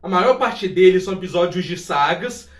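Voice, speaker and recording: A Brazilian man with a notably high voice narrates an informal video essay. He speaks straight to the viewer with chatty, exclamatory asides. The sound is echoey and set well back from the microphone. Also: expressive, moderate pace. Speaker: YouTube presenter of a video essay on a TV sitcom. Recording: roomy echo, distant from the mic.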